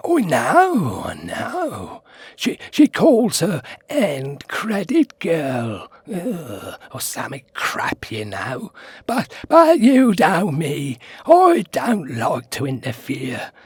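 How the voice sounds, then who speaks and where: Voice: medium pitch, wildly swooping pitch, takes it slowly, a little mellow, husky, no echo, exaggerated voice. A performer playing a gossipy, grumbling British busybody in a radio sitcom, muttering in a conspiratorial, sardonic way. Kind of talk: radio sitcom character acting.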